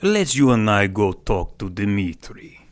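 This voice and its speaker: An actor playing a gruff, tough henchman in a 1940s pulp audio drama. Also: fairly low pitch, big pitch swings, a little slow, dry recording. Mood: threatening. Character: gruff male henchman, slow-talking tough guy.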